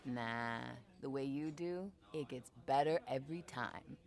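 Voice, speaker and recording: An actress playing a tough, teasing soldier who recounts battles casually in dry, clipped military talk for a video game. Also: female voice, very deep voice, unhurried, a little mellow. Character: tough, teasing female soldier, squadmate of the storyteller. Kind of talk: casual in-character storytelling.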